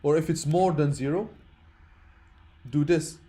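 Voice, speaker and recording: A man's voice: on the high side, unhurried, warm tone. A man teaching programming informally as a non-native English speaker, explaining step by step, repeating words and checking that people follow. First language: not English, which is a third language. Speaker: programming instructor.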